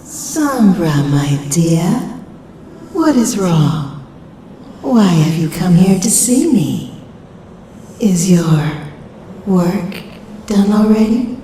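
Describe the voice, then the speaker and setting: A woman playing a sinister shadow-creature mother, speaking in a deliberate, mock-tender way for a dramatic comic dub. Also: on the low side, very animated, dark tone, full character voice. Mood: coaxing, sinister. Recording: roomy, distant, faint background noise.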